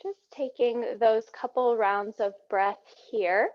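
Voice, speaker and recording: A woman teaching yoga, guiding breathing in a soothing, gentle manner with unhurried phrasing. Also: fairly high pitch, fairly even intonation. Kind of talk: guided yoga breathing instruction. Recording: dull, muffled lo-fi sound.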